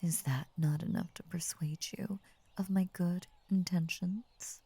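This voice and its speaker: Woman doing a possessive snake lady asmr, slow breathy whispering, low gravelly creak, soft and coaxing. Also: steady tone, heavily acted. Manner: slow, soothing, coaxing, formally worded. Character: possessive, tender female lamia, half snake, doting and unnerving. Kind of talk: intimate ASMR roleplay.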